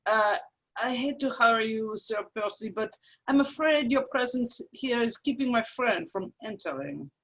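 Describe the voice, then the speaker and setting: A husky, fairly high voice for a scheming male French ambassador played by a woman in a radio play. The speech is formal and curt, with impatience under strained politeness. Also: normal speed, fairly bright tone. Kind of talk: radio play table read.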